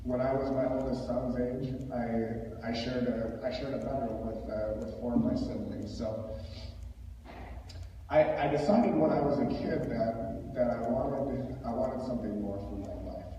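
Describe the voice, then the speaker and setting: Mellow American man in a distant echoey hall. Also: medium pitch, steady tone, unhurried, slight background noise. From rural Minnesota, United States. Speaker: school board applicant. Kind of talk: answering at a public forum. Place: large echoey room at public forum. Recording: echoey hall, far microphone, dull sound.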